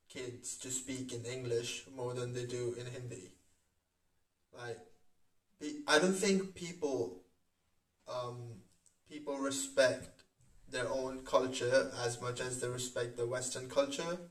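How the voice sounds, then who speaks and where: A man's voice: medium pitch, takes it slowly, long pauses. Man from India, casual chatty talk, echoey room, distant mic. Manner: chatty, animated, says "mate" and "like". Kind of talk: casual chat. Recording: roomy echo, away from mic.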